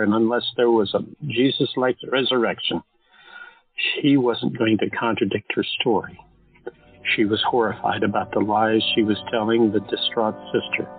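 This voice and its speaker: Steady male narrator, lowish voice, phone-thin sound, soft music. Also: phone-quality sound. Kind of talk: scripted audio drama narration.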